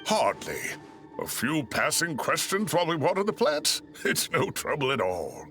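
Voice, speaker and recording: A man's voice: a little high, wildly swooping pitch, moderate pace, fairly bright tone. An actor playing a fussy, kindly older male professor in a video game, chatty and theatrical with some mock grumbling. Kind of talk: animated video game voice acting. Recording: music under the voice.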